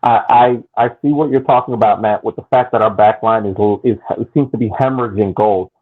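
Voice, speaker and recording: A man's voice: fairly low pitch, a little fast, narrow, tinny audio. A man co-hosting a casual sports podcast, giving long analytical takes with frequent restarts. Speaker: podcast co-host.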